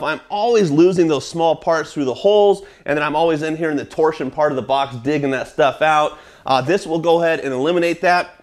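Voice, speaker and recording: An American man in his forties hosting a woodworking vlog in his small workshop, energetically recommending tools with frequent "uh" fillers. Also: fairly high pitch. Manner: energetic, salesy, many "uh" fillers, gestures with products. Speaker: woodworking channel host. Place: small wood workshop indoors.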